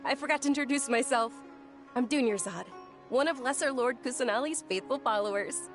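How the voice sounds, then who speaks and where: An actress playing a shy, gentle young woman who tells an old legend, speaking softly and hesitantly, with game music behind. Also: high-pitched, fairly quick, close to an everyday voice. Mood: polite. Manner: soft, hesitant, stammers when nervous. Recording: game audio with background music.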